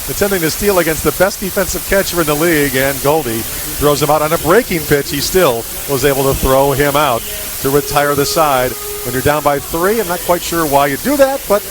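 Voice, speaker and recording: A man calling baseball play-by-play on the radio, in clipped descriptive calls that turn conversational between pitches. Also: a little fast. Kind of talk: live radio sports commentary. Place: ballpark radio broadcast. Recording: hissy static-laden radio broadcast. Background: steady hiss and static.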